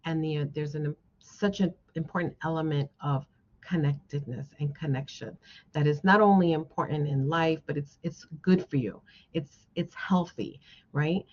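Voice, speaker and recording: A woman with a notably low voice speaks as a guest presenter to a class. She is encouraging and keeps checking in with listeners. The recording is dull and lo-fi. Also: steady tone, moderate pace, a little mellow. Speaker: guest speaker, social worker. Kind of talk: motivational talk to a class. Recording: dull, band-limited lo-fi sound.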